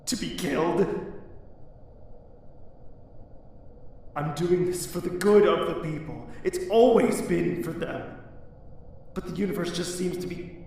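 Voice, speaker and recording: An actor playing a guilt-ridden young nobleman who prays to his goddess, going from hushed confession to anguished cries. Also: high voice, normal speed, vocal fry, a little hiss or hum. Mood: desperate. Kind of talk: audio drama acting.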